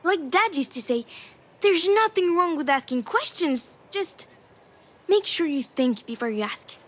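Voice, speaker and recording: A woman playing a young daughter returned from the dead, cheeky, calm and matter-of-fact, in a video game. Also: very high voice, unhurried.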